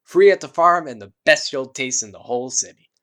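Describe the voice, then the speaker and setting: A man's voice: medium pitch, animated, fairly quick, a bit bright, lightly acted. A plain-spoken teenage farm girl, read by a man in a story. The delivery is drawling and matter-of-fact. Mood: inviting.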